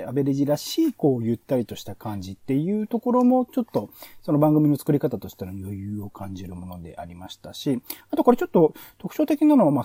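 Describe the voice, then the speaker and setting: A man's voice: fairly high pitch, warm tone, no echo. A man co-hosting a podcast, casually reviewing audio programmes in a hedging, self-correcting explanatory way. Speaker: podcast co-host.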